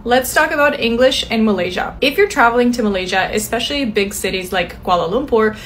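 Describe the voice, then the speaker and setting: A woman's voice: fairly high pitch, fairly quick, a bit bright, faint music behind. A woman talking chattily to camera in a casual travel vlog, explaining things.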